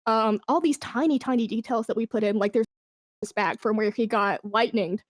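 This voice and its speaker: A woman with a fairly high voice talks as a guest in a casual interview. She is enthusiastic and informal, swearing freely. The sound is very dry, with no room echo. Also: normal speed. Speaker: podcast guest, esports brand manager.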